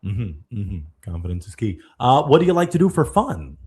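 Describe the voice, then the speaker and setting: Man, dating show host, lively up-and-down pitch, a bit husky, playful. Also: moderate pace. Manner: playful, animated, addresses guests as kind sir. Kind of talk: live dating show hosting.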